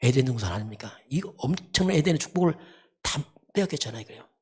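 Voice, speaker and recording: Husky pastor doing a sermon, mid pitch, hammers points over and over like a teacher. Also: expressive, fast talker. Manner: emphatic, repetitive teaching with rhetorical questions. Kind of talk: dawn service sermon.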